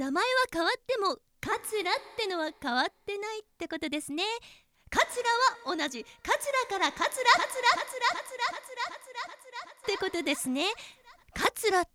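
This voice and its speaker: A woman's voice: very high voice, sing-song, unhurried, bright, forceful tone, slight background noise, full character voice. A woman playing an earnest, cheeky female office employee with a perky, polite manner in a comic radio drama. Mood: perky, sly. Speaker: voice actor.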